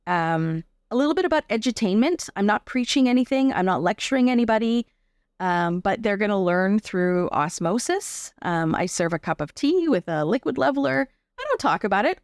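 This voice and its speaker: A woman's voice: medium pitch, expressive, normal speed, no room sound, clean studio sound. A Canadian woman giving reflective, explanatory answers as a guest in a conversational interview. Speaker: interview guest, performer and advocate.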